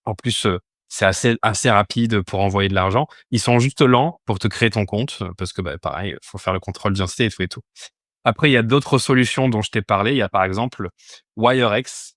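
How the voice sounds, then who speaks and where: Male instructor, lowish voice, informal explanatory tutorial delivery, question-and-answer phrasing, dry close sound. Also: fairly quick, fairly bright tone, dry recording. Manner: explanatory, poses questions then answers them. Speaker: instructor teaching a crypto finance course. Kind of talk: informal step-by-step tutorial with screen demonstration.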